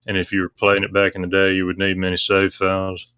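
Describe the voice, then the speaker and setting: A man talking casually in a discussion, explaining things in a halting way. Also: sits low, monotone, pushed and bright, clear, resonant tone. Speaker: discussion panelist on a visual novel talk stream.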